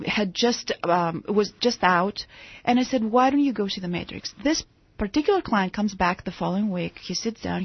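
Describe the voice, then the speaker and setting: A woman from Greece with a breathy voice, recorded close with no room sound. She tells anecdotes as a studio guest, pausing and restarting often. The audio sounds dull and lo-fi. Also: medium pitch, no room sound. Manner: storytelling, hesitant with fillers and restarts. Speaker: guest author and therapist. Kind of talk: radio interview. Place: radio studio.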